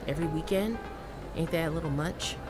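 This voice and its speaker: A woman's voice: low-pitched, a little fast, loud music behind the voice, natural voice. A woman acting a sassy, guarded dominant woman who bickers with a coworker in a one-sided audio roleplay, teasing, sarcastic and easily flustered. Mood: defensive, sarcastic.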